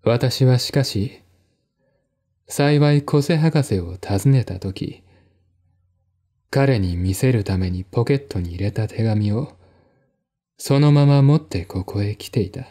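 A man's voice: medium pitch, expressive, slow, pauses often, dark tone. A man narrating a mystery story in the first person, as a dramatic literary reading. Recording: music behind the voice.

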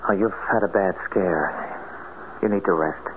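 An actor playing a lonely young male recluse in a radio drama, gentle and earnest, with a hesitant manner and some bitterness. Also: low-pitched, normal speed, natural voice. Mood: puzzled, concerned. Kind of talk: 1970s radio drama acting. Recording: tinny, noisy 1970s radio broadcast.

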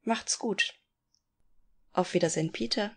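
A woman's voice: mid-range voice, a little slow, heavy background noise, natural voice. A woman playing a polite middle-aged former actress in a detective radio play parody. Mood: reflective.

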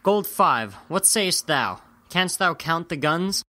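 A man's voice: a little high, lively intonation, slow pace, bright, forceful tone, exaggerated voice. A man playing a resolute squadron leader who gives commands in declaimed Elizabethan verse in an audio drama. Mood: commanding.

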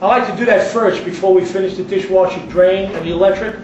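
A man's voice: high voice, fairly even intonation, fast talker. A man giving a plain-spoken, step-by-step hands-on plumbing demonstration as an instructor. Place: indoors in a home kitchen. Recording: roomy echo, distant mic, dull lo-fi, noisy.

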